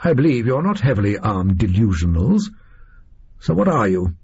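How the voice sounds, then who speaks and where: A man's voice: lowish voice, sing-song, normal speed, darkish tone, breathy edge, exaggerated voice. A man playing a haughty, clipped Victorian detective. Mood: cool. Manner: formal, clipped and exacting. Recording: muffled lo-fi recording.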